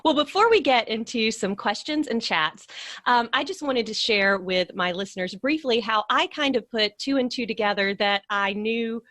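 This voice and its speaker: A woman's voice: a little high, lively intonation, normal speed, sharp tone, no echo, studio quality. A woman hosting a podcast, speaking as an interviewer introducing and chatting with a guest. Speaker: podcast host. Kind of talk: scripted podcast introduction and friendly interview chat.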